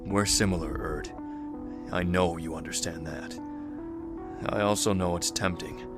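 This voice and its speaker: A man playing a practical, controlled survivor leader in an audio drama, speaking in a measured, formal way. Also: sits low, steady tone, over loud music. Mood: grave, resolute. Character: practical, controlled male survivor leader, burdened but steady.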